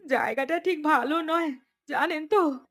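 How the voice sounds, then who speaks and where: An anxious village mother voiced by a woman in a horror cartoon. The voice is high and pleading, that of a worried rural parent. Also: a little slow, a bit bright.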